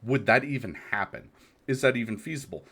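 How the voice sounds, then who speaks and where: A man's voice: mid-range voice, animated, a little fast, bright, forceful tone, husky. An American man in his thirties hosting a solo talk, reflective and hesitant, asking rhetorical questions. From United States. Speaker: podcast host. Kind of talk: solo monologue.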